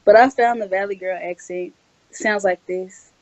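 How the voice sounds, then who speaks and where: A young woman from the American South, a voice actress answering in an interview, rambling and saying "like" a lot, sometimes monotone. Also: medium pitch, moderate pace, rough recording. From Southern United States. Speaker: guest voice actress.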